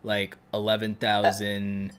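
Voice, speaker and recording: A man's voice: lowish voice, monotone, takes it slowly, a bit bright, clear, resonant tone. A man from North Carolina co-hosting a podcast in casual group chat, explaining and repeating himself for emphasis. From North Carolina, United States. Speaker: podcast co-host.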